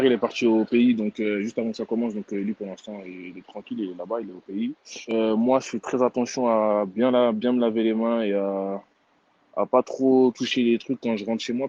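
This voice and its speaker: A young man in his twenties speaks casually with a low voice. He trails off with fillers as he talks about his student job. The sound is dull and lo-fi. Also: a little mellow. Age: 20s. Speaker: student worker. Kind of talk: personal testimony.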